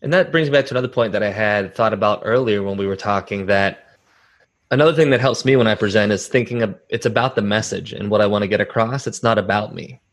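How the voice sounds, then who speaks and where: A man's voice: fairly low pitch, fast. A man speaking as an interviewer in a conversational style, reflecting on his own experience as he goes.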